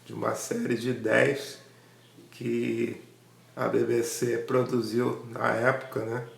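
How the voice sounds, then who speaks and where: A man's voice: mid-range voice, little pitch movement, slow, creaky, echoey room. A man with a Brazilian Portuguese accent informally introducing archive audio as a channel host.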